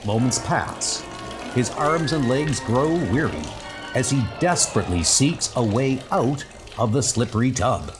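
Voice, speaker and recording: Slow, animated male comic book narrator. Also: medium pitch, over music.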